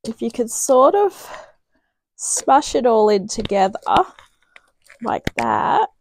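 A woman's voice: a little high, very animated, slow pace, darkish tone, slight background noise, rough recording. A woman from New Zealand hosting a home cooking video, chatting casually as she works in her kitchen and talking herself through each step. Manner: chatty, homely, thinks aloud while cooking. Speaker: home cooking channel host. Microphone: camera mic in the kitchen, off to the side.

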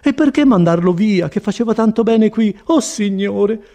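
A man's voice: sits high, lively intonation, normal speed, mellow, voice pushed up. A man voicing a distressed, pleading peasant woman who laments in an audiobook story. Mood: anxious. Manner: plaintive, lamenting. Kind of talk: dramatized audiobook reading.

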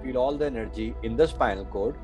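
A man's voice: medium pitch, fast, over music. A man giving guided breathing meditation instructions, repeating short phrases as he goes.

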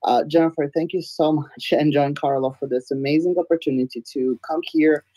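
A woman's voice: low voice, brisk, dry recording. Giggly nasal woman. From Belarus. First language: Russian. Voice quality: a little nasal. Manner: giggly, sometimes loud, expressive. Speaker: guest artist. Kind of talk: casual interview talk. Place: home room, indoors. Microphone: webcam on a video call.

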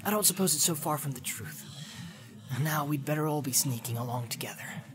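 A man voicing an earnest, loyal young hobbit servant in an audiobook, talking plainly and often hushed, with music underneath. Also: fairly high pitch, brisk, voice pushed up, natural voice. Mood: apologetic, gruff.

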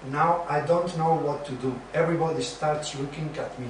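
A man playing a wry young joker in an amateur sitcom reading, with deadpan delivery. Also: on the high side, normal speed, lightly acted. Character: wry, joking young male friend, Chandler. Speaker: student in an amateur dramatized reading. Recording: distant, very echoey room, faint noise.

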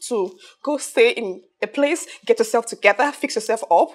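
A young Nigerian businesswoman played by an actress in a film drama, with a high voice. She is domineering and sorry for herself, and she pleads and repeats herself with great drama. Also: moderate pace, lightly acted. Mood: anguished, hesitant. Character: self-pitying, domineering young businesswoman housing her friends. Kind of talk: emotional film drama acting.

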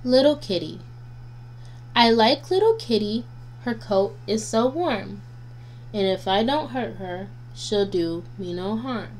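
A woman reading nursery rhymes aloud for children as a guest reader, in a deliberate, sing-song way. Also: mid-range voice, animated, darkish tone. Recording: noisy home recording with hum.

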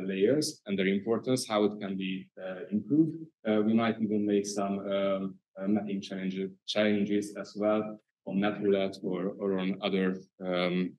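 A man with a Hungarian accent giving a conference presentation, halting often with filler sounds. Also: fairly low pitch, darkish tone, poor audio. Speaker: conference speaker. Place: conference hall.

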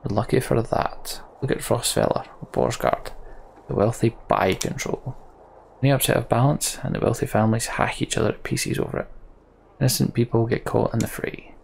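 A man voicing a dutiful middle-aged guard captain in a fantasy game, formal and deliberate. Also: mid-range voice, fairly even intonation, gravelly, a little hiss or hum, low-quality recording. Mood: grave.

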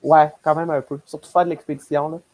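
A man's voice: fairly high pitch, little pitch movement, quick pace, a crowd in the background. A young man with a Québécois accent chatting casually on a podcast panel over a video call. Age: young adult. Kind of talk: casual chat. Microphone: headset or earbuds on a video call.

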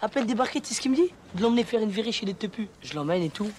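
A man playing a cocky, excitable young guy from a housing estate, speaking insistent slang with a French banlieue accent in a film. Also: sits high, very fast, a little hiss or hum. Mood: animated storytelling. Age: young adult. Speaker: film actor. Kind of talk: naturalistic film dialogue in street slang.

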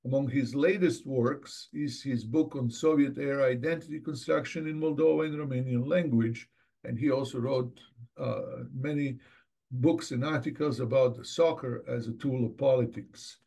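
A middle-aged man speaks as the moderator of an online seminar. His voice sits at a medium pitch as he reads out a formal, prepared introduction. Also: moderate pace, creaky, mellow. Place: indoors, office, on a video call.

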